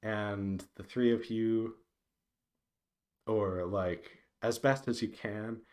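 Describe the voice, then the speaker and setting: A man giving a casual, unscripted update to viewers, thinking aloud in a hesitant, meandering way with halting pauses. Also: lowish voice, fairly even intonation, a little mellow. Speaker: visual novel developer giving a devlog update.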